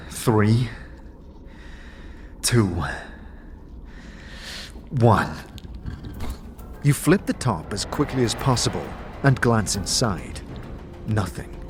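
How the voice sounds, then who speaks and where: Music plays behind a man with a fairly low voice. He narrates a dark fantasy audio drama in the second person, with vivid, suspenseful delivery.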